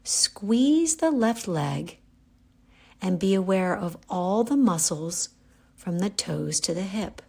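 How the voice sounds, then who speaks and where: A woman guiding a yoga nidra relaxation, giving calm, gentle, unhurried instructions with pauses. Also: mid-range voice, vocal fry, breathy edge. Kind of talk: guided relaxation meditation.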